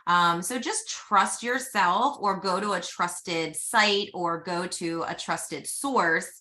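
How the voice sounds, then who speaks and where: A woman in her forties hosting a podcast, chatting casually in an animated way. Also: lowish voice. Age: 40s. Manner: chatty and animated, quick asides. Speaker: podcast host. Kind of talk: casual chat.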